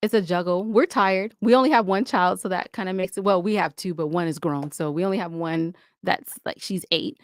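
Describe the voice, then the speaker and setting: Candid, chatty woman, lower-pitched voice. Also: a little fast, few pauses, no room sound, clean studio sound. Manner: chatty, candid, animated storytelling with playful asides. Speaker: podcast guest, audio drama podcaster. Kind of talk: casual interview talk.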